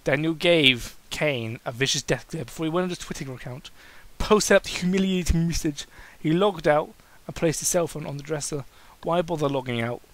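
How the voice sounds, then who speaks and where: A man's voice: a little high, normal speed, few pauses, a bit bright, low-quality recording. A man giving a comic amateur dramatic reading of fan fiction, stumbling over words and breaking off to heckle the text with swearing.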